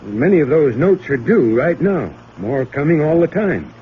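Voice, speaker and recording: A man's voice: a little high, very animated, normal speed. A man with an American accent acting a worried, businesslike small-town banker in a 1940s radio drama. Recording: tinny, narrow old radio recording, faint noise.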